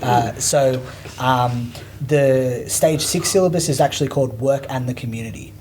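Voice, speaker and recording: A man of middle age speaks as a teacher. His pitch sits in the middle and his talk is earnest and full of fillers. Faint noise hums behind him. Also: normal speed, a little mellow, rough recording. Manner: earnest, rambling with many fillers. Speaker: work experience teacher. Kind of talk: casual conversation.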